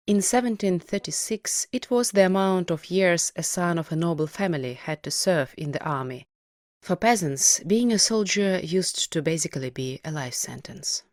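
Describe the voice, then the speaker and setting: A woman with a lowish voice narrates a scripted podcast as its host. The sound is close and dry, and she explains things in a measured manner. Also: darkish tone, no room sound.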